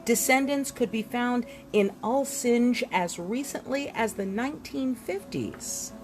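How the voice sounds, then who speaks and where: A woman reading a history book aloud in an expressive, storytelling way, with deliberate dramatic pauses and emphasis. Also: a little high, over music. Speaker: reader presenting an illustrated history book. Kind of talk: expressive read-aloud narration with asides.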